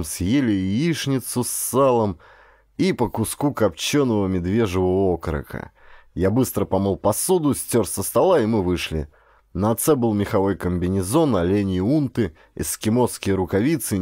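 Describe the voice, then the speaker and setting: A man's voice: on the low side, darkish tone, no room sound, studio quality. A man reading a first-person adventure story as a scripted audiobook narration.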